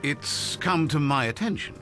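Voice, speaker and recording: An actor playing a mild, flustered gentleman in a radio drama, hesitant and understated. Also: a little high, expressive, a little slow, faint music behind. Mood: wry, hesitant.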